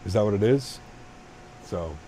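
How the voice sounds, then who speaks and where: A man with a deep, low voice talks to his live-stream chat while flying a simulator. He explains his reasoning at length, circling back and restarting phrases as he goes. A faint hum sits behind him. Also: animated, unhurried, dark tone. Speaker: flight sim streamer.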